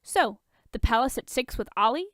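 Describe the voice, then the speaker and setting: Lady playing a smartass rich playboy guy in a fanfic, teasing and goading, crisp and dry sound. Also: fairly high pitch, expressive, normal speed, fairly bright tone, dry recording. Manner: sarcastic, goading. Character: playful, teasing, hard-partying billionaire man, smirking wisecracker.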